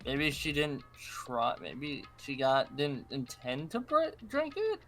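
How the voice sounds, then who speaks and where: A man's voice: medium pitch, huge pitch swings, takes it slowly, a bit bright, soft background music. A man giving casual let's play commentary as he plays a game.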